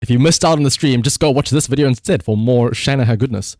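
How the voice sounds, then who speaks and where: A man's voice: mid-range voice, fast, darkish tone, dry recording, clean studio sound. A man livestreamer chatting casually and rambling to his viewers, swearing freely with playful exasperation. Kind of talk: casual chat to stream viewers.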